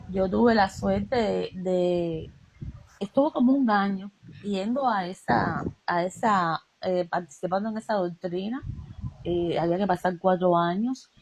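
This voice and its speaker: A woman's voice: mid-range voice, a little mellow, breathy edge, low-quality recording. A woman from Cuba with a Cuban Spanish accent, telling personal stories in a casual spiritual chat, with fillers and repetitions. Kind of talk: casual conversation about spirituality.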